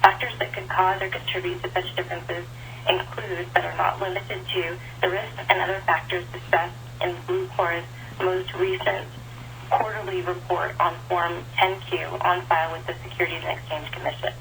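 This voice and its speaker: A woman reading a formal scripted statement in a measured way as an investor relations director, over a telephone conference line. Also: on the low side, flat delivery, gravelly, a bit bright, slight background noise, low-quality recording. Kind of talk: formal scripted disclaimer reading on an earnings call.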